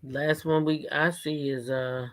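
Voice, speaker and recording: A woman's voice: low voice, fairly even intonation, slow. An American woman doing casual live gossip commentary as host, sassy and emphatic, often repeating phrases for emphasis.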